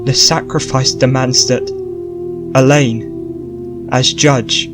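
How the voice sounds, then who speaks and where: A man's voice: mid-range voice, loud music behind the voice, lightly acted. A man reading as a grave sorceress Morgana. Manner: slow, measured reading. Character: grave female sorceress explaining a curse.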